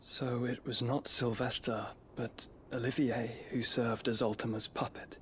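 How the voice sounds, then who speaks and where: A man's voice: mid-range voice, level tone, measured pace, a little hiss or hum, natural voice. An actor playing an earnest, learned young nobleman in a fantasy game, speaking solemnly in formal, archaic phrasing. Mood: sorrowful, regretful. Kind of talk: dramatic fantasy game cutscene acting. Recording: music behind the voice. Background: music.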